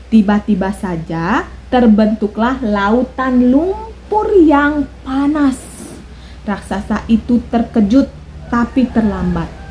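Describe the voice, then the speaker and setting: Slow, mellow woman storyteller. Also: medium pitch, a little hiss or hum, low-quality recording. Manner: slow, expressive. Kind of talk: children's storytelling.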